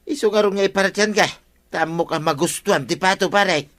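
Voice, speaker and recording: A man's voice: high voice, a little fast, fairly bright tone. An actor with an Ilocano accent playing a cheeky, wheedling, argumentative village man in a radio comedy drama. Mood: coaxing.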